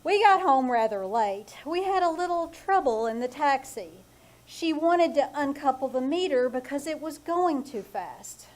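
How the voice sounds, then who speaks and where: A woman playing a deadpan, weary husband narrator. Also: a little high. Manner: wry, deadpan storytelling. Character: dry, weary middle-aged husband narrating. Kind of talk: 1940s radio comedy acting, live on stage. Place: small theater stage, live performance.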